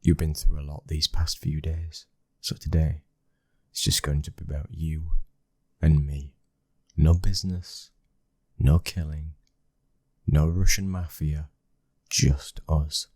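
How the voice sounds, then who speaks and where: A British man playing a loving, dominant young mafia heir in an intimate ASMR boyfriend roleplay, speaking close to the mic in a breathy, husky voice. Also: extremely low pitch, flat delivery, slow, mellow, no room sound, heavily acted. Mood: relaxed, affectionate. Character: smooth-talking, loving, dominant young mafia boss's son. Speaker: audio roleplay voice actor. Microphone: close microphone.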